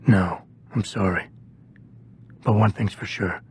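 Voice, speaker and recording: An actor playing a stoic security agent in a video game, speaking in a restrained, terse and deliberate way. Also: male voice, fairly low pitch, fairly even intonation, darkish tone, slight background noise. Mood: somber, restrained. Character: stoic gravelly male security agent investigating a cover-up. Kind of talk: video game cutscene acting.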